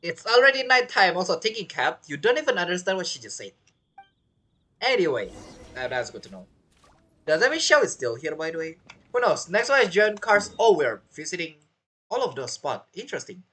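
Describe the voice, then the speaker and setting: Bright, animated gamer thinking aloud. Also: mid-range voice, a little fast. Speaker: game streamer. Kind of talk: casual live gameplay commentary. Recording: faint game music behind.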